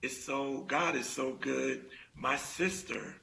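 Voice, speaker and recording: A man's voice: medium pitch, fairly even intonation, slow pace, vocal fry, a crowd in the background, rough recording. A man casually chiming in on a live talk show.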